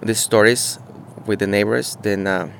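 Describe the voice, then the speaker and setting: A man from Mexico, talking casually outdoors in an on-site interview, halting and searching for words with many fillers. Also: fairly low pitch, fairly even intonation, creaky, fairly bright tone, a little hiss or hum. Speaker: muralist interviewee. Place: outdoors beside a mural.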